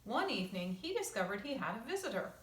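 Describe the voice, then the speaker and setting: A woman's voice: a little high, sing-song, fast. Woman teacher reading aloud, distant and echoey. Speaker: teacher reading a picture book to her class. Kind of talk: read-aloud storytelling with casual asides to children. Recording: roomy echo, distant microphone.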